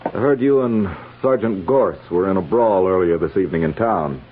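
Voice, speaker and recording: Low, husky, stern army major. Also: male voice, normal speed, narrow, tinny audio. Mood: grudging, firm. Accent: American. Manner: authoritative, formal. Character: stern, by-the-book older male army major. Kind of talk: 1950s radio western acting. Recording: thin band-limited old radio recording.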